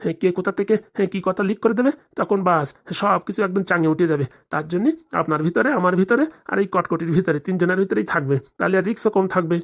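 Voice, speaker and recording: A woman's voice: on the low side, quick pace. A woman voicing a greedy, boastful, crooked male village doctor in a comic cartoon drama, with a brash colloquial manner. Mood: reassuring.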